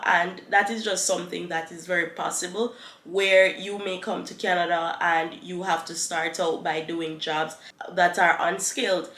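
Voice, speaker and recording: A woman's voice: on the low side, a bit bright. A young woman with a slightly congested voice, explaining things to camera like a tutorial host. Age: young adult. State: congested from a cold. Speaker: YouTube host giving advice. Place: small living room on a couch, indoors. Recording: roomy, echoing living room.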